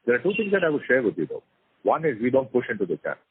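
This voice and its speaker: A man's voice: mid-range voice, wildly swooping pitch, racing, husky. An Indian man speaking as a company executive, giving informal, unscripted business answers on a call. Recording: dry, narrow conference phone line.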